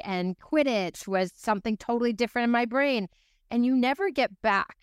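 Female podcast host, smooth clear voice, animated and chatty, dry sound. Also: medium pitch, dry recording. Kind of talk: casual interview conversation.

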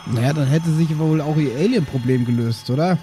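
A man's voice: fairly high pitch, fairly quick, mellow, natural voice. A man voicing a lazy, dim, rambling alien father in an improvised comedy audio drama. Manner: rambling, hesitant, laid-back. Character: lazy, dim, easygoing alien dad fond of food.

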